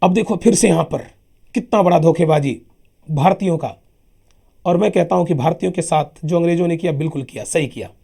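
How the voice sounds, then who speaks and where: A man with a sore throat teaching a lively history lesson for exams, emphatic and chatty, often calling his listeners sir. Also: a little high, brisk. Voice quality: husky, gravelly. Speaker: exam coaching teacher. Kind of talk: lively classroom history lecture.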